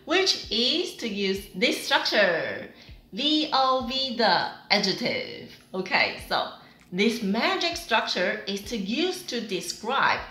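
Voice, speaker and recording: A woman's voice: on the high side, takes it slowly, echoey room. A woman in her thirties from Taiwan with a Taiwanese accent, giving a cheerful online Mandarin lesson in English, which is not her first language, and talking with her hands. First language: Mandarin Chinese. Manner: cheerful, animated, gestures with both hands. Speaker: Chinese teacher. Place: small room with whiteboard, indoors.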